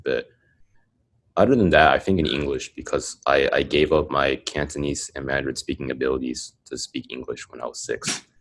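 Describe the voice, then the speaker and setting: A man's voice: very deep voice, fairly even intonation, normal speed. A young man in casual conversation, rambling and filling his speech with "like" and "um". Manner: rambling, heavy use of "like" and "um". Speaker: podcast guest. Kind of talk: casual chat.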